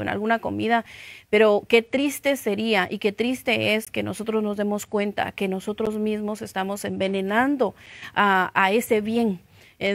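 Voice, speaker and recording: A woman's voice: medium pitch, creaky, no echo. A middle-aged woman with a Guatemalan accent, giving reflective, conversational Bible teaching as a pastor. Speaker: pastor co-teaching with her husband.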